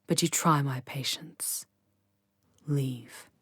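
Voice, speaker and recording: A woman's voice: rumbling low, takes it slowly, breathy edge, dry recording, studio quality, exaggerated voice. A woman voicing a proud, sardonic, protective female demon in an ASMR audio drama, speaking with haughty command and dropping into whispers. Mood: menacing, haughty.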